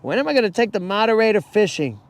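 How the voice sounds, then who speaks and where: Hoarse, slangy fishing host outdoors. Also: male voice, high-pitched, very animated, fast. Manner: casual, slangy, calls viewers bro. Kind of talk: live-stream chat while fishing. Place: outdoors on a boat on a lake.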